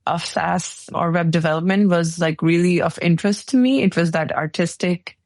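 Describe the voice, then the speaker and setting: A woman in her forties from Pakistan, telling her life story in a relaxed interview. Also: on the low side, moderate pace, dry recording, clean studio sound. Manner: relaxed storytelling with light laughs. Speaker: guest, engineering executive. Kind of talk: casual interview answers.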